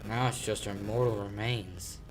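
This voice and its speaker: A man's voice: fairly low pitch, fairly even intonation, moderate pace, loud music behind the voice, natural voice. Young man acting a stubborn lawyer, brash delivery, old-time radio drama style, youthful male voice. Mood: grave, measured. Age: young adult. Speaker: high school actor.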